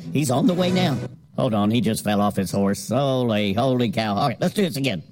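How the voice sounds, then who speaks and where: A man reading a jokey radio station promo in a lively, playful way. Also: mid-range voice, fairly quick. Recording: loud music bed behind.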